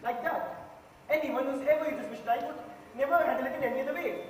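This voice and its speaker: A young Indian man on an amateur stage, playing an earnest, quiet juror who grew up in a rough neighborhood. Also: very high voice, fast talker. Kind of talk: amateur stage drama acting. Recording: echoey theatre hall recorded from far off, hiss.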